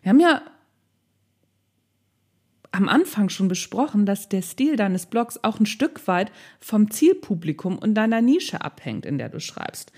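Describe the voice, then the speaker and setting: A woman's voice: mid-range voice, big pitch swings, measured pace, a little mellow. A woman with a Northern German accent giving a casual blogging tutorial, chatty, playful and colloquial as she speaks to the viewer.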